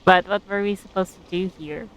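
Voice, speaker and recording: A woman's voice: mid-range voice, normal speed. A woman giving casual, amused commentary as she streams a game, musing aloud in a hesitant way.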